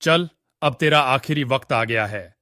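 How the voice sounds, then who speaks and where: A man's voice: medium pitch, measured pace, pushed and bright, dry recording, clean studio sound. A man playing a stern village exorcist in a cartoon story, commanding and full of warning. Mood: stern threat. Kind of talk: Hindi cartoon story acting.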